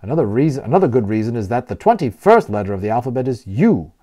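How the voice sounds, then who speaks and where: Man reading as genial, talkative host, animated pitch. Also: fast talker. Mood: genial, playful. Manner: explanatory, emphatic, playfully wordy.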